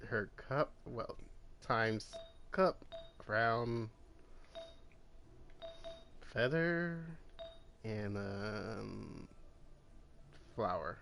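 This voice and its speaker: A man casually commenting over his gameplay, talking in a halting way with many fillers and restarts. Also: fairly low pitch, animated, gravelly, a bit bright, slight background noise. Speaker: gameplay streamer.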